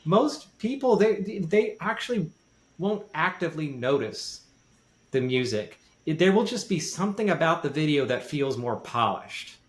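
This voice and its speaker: A man's voice: on the high side, very animated, normal speed. A man talking casually and explaining in detail, often repeating and rephrasing for emphasis. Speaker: podcast guest with a music background. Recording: roomy, echoey sound.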